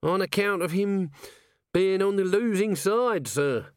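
A man's voice: sits high, a little slow, clear, resonant tone, no room sound, clean studio sound, voice pushed up. A man voicing a nervous, shifty little male corporal who stammers and hedges, peppering his talk with "sir". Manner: stammering, hesitant, full of "sir"s. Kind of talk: audiobook character voice.

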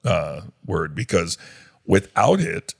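A pastor talking through a topic in a casual podcast discussion, rambling a little with fillers and restarts. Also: male voice, low-pitched, very animated, slow pace, creaky, slightly rough. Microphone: podcast microphone.

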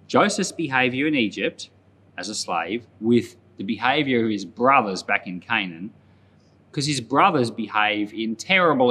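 A man speaking as a pastor, reading and explaining scripture aloud in a measured, plain-spoken way. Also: mid-range voice, sharp tone, a little hiss or hum. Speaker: pastor, Bible podcast host.

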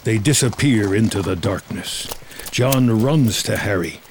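A man's voice: fairly low pitch, lively intonation, a little slow, a little hiss or hum. A man reading scripted screenplay narration as the narrator of a drama.

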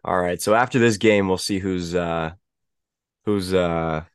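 A young man hosting a casual sports podcast, stuffy from a head cold. His voice sits very low. He talks in halting stretches, restarting often, and the sound is dry and close-miked. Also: clear, resonant tone, no room sound. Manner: halting, many restarts and fillers. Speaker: podcast host.

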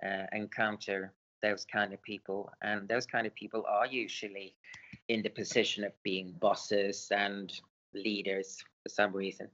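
Swedish-born male author, unhurried and hesitant, middle pitch, slightly muffled lo-fi audio. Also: pushed and bright. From Sweden. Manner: hesitant, many fillers and hedges. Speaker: author guest. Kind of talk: casual interview answers.

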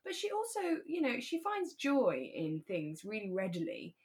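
A British woman chatting casually as a podcast co-host, in a talkative, easygoing way that is quick to laugh. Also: mid-range voice, huge pitch swings, darkish tone. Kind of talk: relaxed casual chat about a radio drama.

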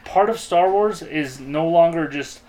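A man's voice: on the high side, a little slow, darkish tone, low-quality recording. A man chatting casually as a podcast co-host, opinionated and emphatic in an exasperated way. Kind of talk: casual opinionated group chat.

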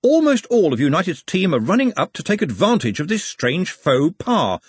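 A man's voice: high-pitched, big pitch swings, normal speed, slightly rough, no room sound, pitched above the performer's own voice, heavily acted. Man doing a frantic florid newspaper editor. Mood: excited. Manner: breathless, florid sports commentary. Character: excitable male newspaper editor commentating a match.

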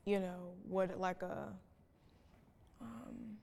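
A young woman in her teens answering informally and haltingly as a student panelist. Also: lowish voice, steady tone, gravelly, dark tone. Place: stage in a reverberant hall. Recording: echoey hall with long reverb.

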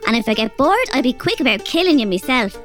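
A woman's voice: high-pitched, big pitch swings, quick pace, soft background music. An actress with an Irish accent playing a tough, bossy robber girl who also narrates. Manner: bossy, threatening, blunt. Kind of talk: audio drama acting.

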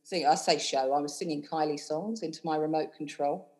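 London lady in her 30s, lowish voice, gushing and rambly, lots of ums. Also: fairly even intonation, fairly quick, a little mellow. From London, England. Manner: chatty and effusive, rambling with many fillers. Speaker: cabaret host and singer, guest. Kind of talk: casual interview chat.

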